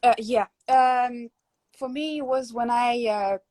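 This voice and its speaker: A woman's voice: on the high side, fairly even intonation, slow, darkish tone, no echo. Reflective young woman, dry close sound. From Latvia. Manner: reflective, many fillers and restarts. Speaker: host. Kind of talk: casual interview chat.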